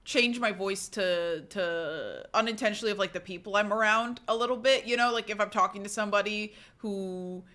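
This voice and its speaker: A woman's voice: normal speed, hardly any pauses. A young woman streamer chatting casually and reading live comments, swearing freely and restarting her sentences. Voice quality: says her voice is fairly low and relaxed when tired.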